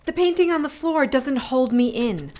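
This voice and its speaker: A woman's voice: sits high, lively intonation, moderate pace, darkish tone. A wry, sarcastic captive witch. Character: sardonic captive young witch, scheming. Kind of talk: audio drama acting.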